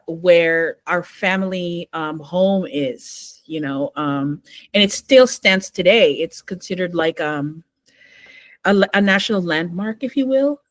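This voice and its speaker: A woman's voice: on the low side, no room sound. A middle-aged woman from Metro Manila, a novelist and mother, chatting easily in an interview and telling family stories with many fillers. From Philippines, Metro Manila. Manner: warm, storytelling, many fillers. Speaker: podcast guest, novelist. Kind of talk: casual interview conversation.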